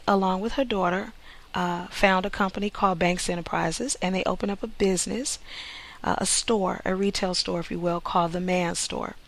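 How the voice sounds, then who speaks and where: Measured playwright introducing a stage scene. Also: mid-range voice, a bit bright. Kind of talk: informal spoken introduction to camera.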